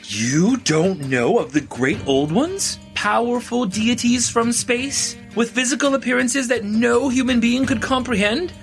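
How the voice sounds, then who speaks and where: A woman's voice: fairly high pitch, a little slow, few pauses. An actress playing an eccentric, gleeful woman who is theatrical and incredulous in an audio comedy drama. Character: eccentric, gleeful woman raving about ancient cosmic deities. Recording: music playing behind the voice.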